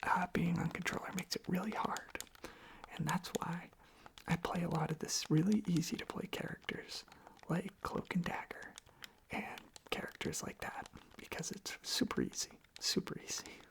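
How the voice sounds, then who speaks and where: A whispered, breathy voice rambling sleepily and gently in casual ASMR style, softly repeating phrases. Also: medium pitch, steady tone, moderate pace. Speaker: ASMR video creator. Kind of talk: ASMR, softly rambling casual chat while tapping on objects. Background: tapping and clicking on objects.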